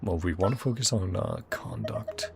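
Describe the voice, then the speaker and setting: A man's voice: sits low, huge pitch swings, moderate pace, creaky, loud music behind the voice. A man doing casual gameplay commentary as a streamer, often muttering to himself as he thinks. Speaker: streamer playing a video game.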